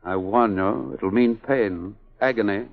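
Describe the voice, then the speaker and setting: An actor playing a suave, obsessed male surgeon in a 1940s radio drama, speaking in a deliberate, commanding way. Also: lowish voice, vocal fry. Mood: intense, grave. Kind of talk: 1940s radio melodrama acting. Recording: muffled old 1940s radio recording.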